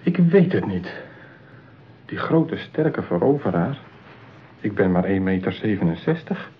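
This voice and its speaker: A man's voice: lowish voice, very animated, measured pace, a little hiss or hum. An actor playing a shy, lonely male customer in a radio play, speaking in a hesitant, bashful way. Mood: doubtful, bashful. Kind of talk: radio drama acting. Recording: tinny, narrow-band old radio play.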